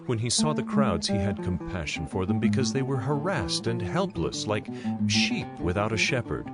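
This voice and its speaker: A man's voice: lowish voice, big pitch swings, moderate pace. A man narrating scripture from a script in a solemn storytelling manner, with music beneath.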